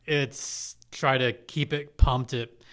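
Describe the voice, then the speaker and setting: Man, podcast guest, casual chat, upbeat, mid-pitched voice. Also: fairly even intonation, slow pace, fairly bright tone. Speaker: arena announcer as podcast guest.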